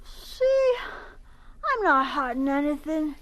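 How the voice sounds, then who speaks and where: Very high-voiced homeless farm boy. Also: very animated, takes it slowly, fairly bright tone, full character voice. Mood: hushed, weary. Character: homeless ten-year-old farm boy, earnest, pleading, timid. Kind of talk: radio drama acting.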